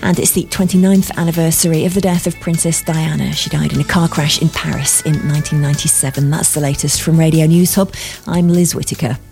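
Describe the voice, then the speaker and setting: A woman reading a radio news bulletin in a measured, formal way. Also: sits low, steady tone, gravelly, music in the background. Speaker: newsreader.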